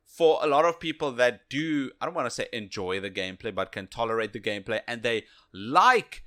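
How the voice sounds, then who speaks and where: A man co-hosting a casual game review podcast, speaking in a halting, jokey way with frequent repeated phrases. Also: mid-range voice, animated, a bit bright. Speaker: podcast co-host.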